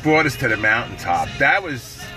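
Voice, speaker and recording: Raspy middle-aged man chatting from his car. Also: mid-range voice, big pitch swings, moderate pace, bright, forceful tone, faint music behind. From New York. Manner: loose, profane, chatty, laughing often. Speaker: livestream host. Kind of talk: casual livestream chat. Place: parked car. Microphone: phone at arm's length.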